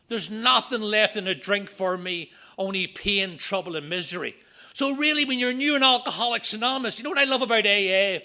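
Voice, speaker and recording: A middle-aged man with a Northern Irish accent, giving an earnest talk at a recovery meeting with quick asides. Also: sits high, sharp tone. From Northern Ireland. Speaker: AA speaker. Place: meeting room.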